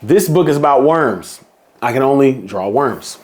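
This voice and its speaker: Slow, playful man reading to kids. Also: medium pitch, lively intonation, a little mellow. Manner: slow, playful storybook reading with drawn-out counting. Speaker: children's book reader. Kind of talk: reading a picture book aloud.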